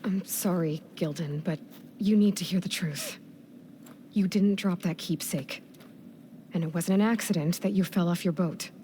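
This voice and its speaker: An actress playing a frank, loyal young heroine in a video game, speaking earnestly and directly. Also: female voice, fairly low pitch, normal speed, warm tone, a little hiss or hum, close to an everyday voice. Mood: gently frank. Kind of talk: video game cutscene acting.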